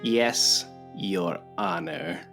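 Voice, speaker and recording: A remorseless killer in a radio drama. He drawls in a folksy, mocking way, and his pitch swings widely over faint music. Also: big pitch swings, drawn-out words, vocal fry, a bit bright, heavily acted. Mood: gleeful, taunting. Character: gleeful, unrepentant male killer on trial, folksy and taunting. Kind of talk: radio play acting.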